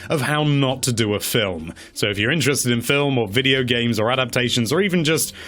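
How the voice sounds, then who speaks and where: A man's voice: medium pitch, a little fast, fairly bright tone. A man with a mid-range voice gives casual, opinionated review commentary. His delivery is wry, leaning into mock-dramatic emphasis. He stretches key words and repeats them for effect as he presents. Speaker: presenter of a video game movie countdown.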